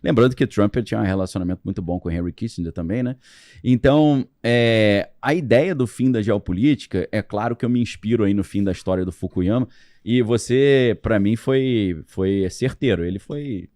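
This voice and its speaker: A man's voice: on the low side, normal speed, warm tone, no room sound, clean studio sound. A man talking as a podcast guest, explaining history in a casual, loose way with plenty of slang and fillers.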